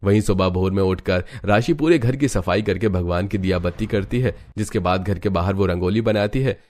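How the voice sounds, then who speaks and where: Low-pitched male cartoon story narrator. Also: a little fast, darkish tone.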